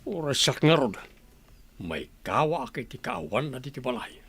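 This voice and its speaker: A man's voice: mid-range voice, very animated, normal speed, creaky, fairly bright tone, slightly rough, full character voice. A man playing a grumbling middle-aged father in a village radio drama, with a Filipino accent. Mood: matter-of-fact.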